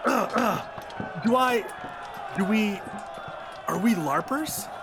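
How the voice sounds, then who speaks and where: Male sidekick, acted: worried, loyal gamer for comic radio play, animated delivery, slightly high, strong music bed. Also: slow, a crowd in the background. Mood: bewildered. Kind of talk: tongue-in-cheek audio drama acting. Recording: loud music behind dialogue.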